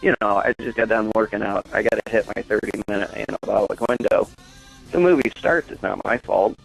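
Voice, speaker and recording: A man with a lowish voice and plenty of fry speaks as a guest on a comedy show. He is casual, matter-of-fact and opinionated, over faint music. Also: fairly quick, low-quality recording. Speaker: caller, comic expert guest.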